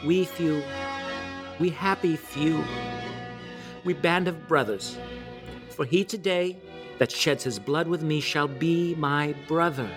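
A man performing a stirring medieval king rallying his outnumbered soldiers in a declamatory, amateur theatrical soliloquy with weighty pauses. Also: on the high side, over music, pitched above the performer's own voice. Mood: stirring, fervent.

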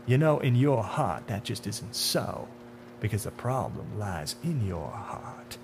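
A man playing a menacing, taunting otherworldly man in a dark suit in a horror story, with theatrical, deliberate, sneering questions. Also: on the low side, big pitch swings. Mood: coldly persuasive. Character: menacing, taunting dark-suited man, smooth-talking otherworldly bringer of death. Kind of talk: dramatic horror audiobook acting. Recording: audible hum behind the voice.

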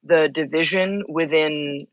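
A young adult who grew up in Belgium, a guest in a casual interview, talking with quick bursts, lots of fillers and restarts. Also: mid-range voice, steady tone, no echo, lo-fi sound. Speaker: podcast guest.

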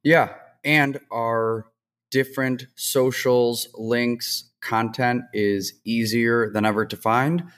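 Smooth low man, podcast co-host, reverb. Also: fairly even intonation, slow pace, a bit bright. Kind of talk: casual podcast chat.

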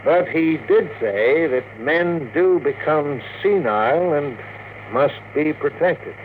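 An actor playing a measured, candid lawyer advising a client in a classic radio drama. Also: male voice, fairly high pitch, lively intonation, phone-quality sound. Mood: grave. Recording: thin old radio recording, faint noise.

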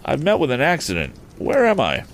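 A man's voice: fairly high pitch, wildly swooping pitch, fairly quick, slight background noise, pitched above the performer's own voice. A man voicing an earnest, polite and direct young fellow in a storybook reading. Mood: urgent, earnest. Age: young adult. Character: earnest, plucky young fellow, robbed and stranded.

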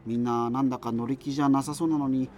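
A man's voice: medium pitch, fairly even intonation, fast, natural voice. A man playing a wistful, self-effacing radio show host who rambles through a scripted monologue. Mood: presenting, low-key. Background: music.

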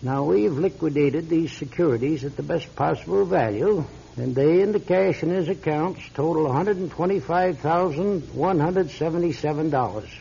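An actor playing a polite, fussy lawyer in a classic radio drama, speaking formally and hesitantly. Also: male voice, a little high, normal speed, hardly any pauses, warm tone, full character voice. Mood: formal, measured. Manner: formal, hesitant, throat-clearing. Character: polite, fussy male lawyer reading a will. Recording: dull lo-fi radio recording.

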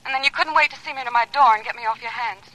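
An actress playing a hurt, jealous sweetheart pleading on the telephone in a 1940s radio drama, plaintive and questioning. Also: female voice, on the high side, very fast, pushed and bright. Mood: suspicious, hurt. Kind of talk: 1940s radio thriller acting. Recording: noisy old radio telephone scene.

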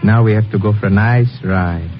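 Muffled vintage radio recording of an actor as a sardonic henchman, on the low side, with music underneath. He threatens smoothly and coolly, in the theatrical manner of 1950s radio. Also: brisk, close to an everyday voice. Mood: sardonic menace. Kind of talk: 1950s radio drama acting.

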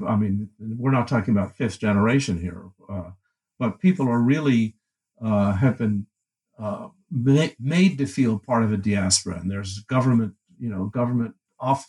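A man answering questions about an edited book in a halting, thoughtful way, with many fillers and restarts. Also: medium pitch, darkish tone, slightly rough, poor audio. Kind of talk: academic interview answer.

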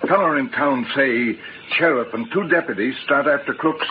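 An actor playing a loyal, steady scout companion who speaks clipped broken English in an old-time radio western. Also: male voice, mid-range voice, normal speed, lightly acted. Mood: grave, reporting. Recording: muffled vintage radio broadcast with noise.

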